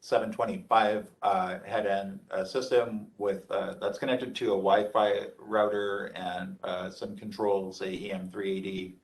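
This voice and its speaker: A man presenting an informal technical lecture as a trainer, speaking haltingly with frequent hesitant pauses. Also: on the low side, flat delivery, vocal fry, distant mic, a little hiss or hum, rough recording.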